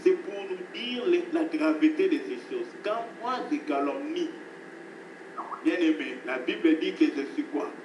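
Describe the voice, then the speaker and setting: A man preaching with insistent repetition, his fairly high voice heard from across an echoing room. The recording is noisy and distant, with the space ringing around him. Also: expressive, unhurried, lo-fi sound. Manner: emphatic preaching, repeats phrases, addresses listeners as beloved. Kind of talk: sermon.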